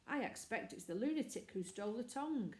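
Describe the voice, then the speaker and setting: A woman's voice: on the high side, expressive, brisk, dark tone, natural voice. A woman voicing a flustered but commanding male village vicar in a children's story. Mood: alarmed. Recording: echoey room.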